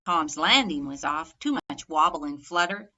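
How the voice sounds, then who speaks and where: A woman reading a rhyming children's story aloud expressively, measured and emphatic on the rhymes. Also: sits low, lively intonation, bright, forceful tone, highs cut off. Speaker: storybook reader.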